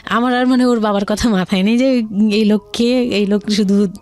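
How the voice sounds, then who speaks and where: A woman in her thirties with a Bangladeshi accent, telling her personal story in conversation as an interview guest, haltingly and circling back. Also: medium pitch, steady tone, a little mellow.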